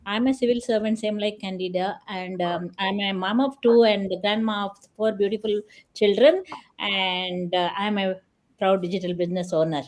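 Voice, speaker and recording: An older woman from India, a grandmother, giving a soft, trailing introduction on a video call. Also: mid-range voice, fairly even intonation, moderate pace. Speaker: panel guest, civil servant. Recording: faint clicking noise on call.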